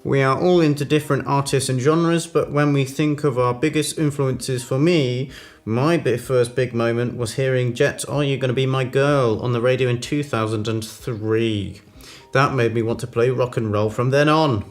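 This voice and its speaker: A man reading aloud as a host in a mock bedtime-story style, with a deliberate, theatrical storytelling delivery. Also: medium pitch, fairly even intonation, faint music behind.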